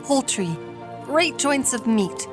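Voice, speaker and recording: A woman giving scripted storybook narration for a radio drama in a measured, theatrical way. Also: sits high, animated, over music.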